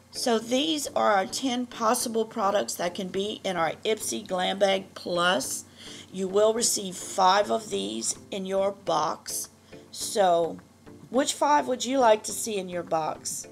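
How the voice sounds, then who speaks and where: Slow, gravelly woman beauty vlogger. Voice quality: deep and rough. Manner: slow, careful reading of product copy. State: rough, strained voice from allergies. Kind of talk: reading product descriptions to camera.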